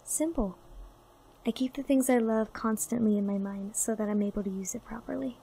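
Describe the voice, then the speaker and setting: A woman playing an earnest magical unicorn in animated fan drama dialogue. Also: a little high, a little fast, gravelly, slightly rough, exaggerated voice. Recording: faint background noise.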